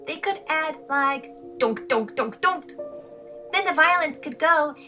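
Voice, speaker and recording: Woman as bright, high-voiced plucky boy. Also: animated, slow, soft background music, full character voice. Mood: eager, inventive. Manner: eager, boyish delivery. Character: earnest, plucky young boy musician, quick to bristle. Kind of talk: fan audio drama acting.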